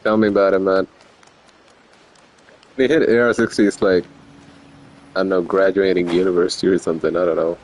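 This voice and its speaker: Low-voiced man casually commentating on a game. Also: fairly even intonation, fairly quick, long pauses, a little mellow, low-quality recording. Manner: slangy, joking. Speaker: game streamer.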